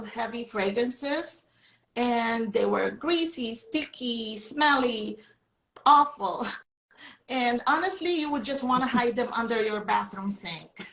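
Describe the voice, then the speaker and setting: Higher-pitched gravelly woman, echoey room. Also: fairly bright tone. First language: Filipino. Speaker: beauty chemist, brand co-founder. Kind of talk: panel discussion.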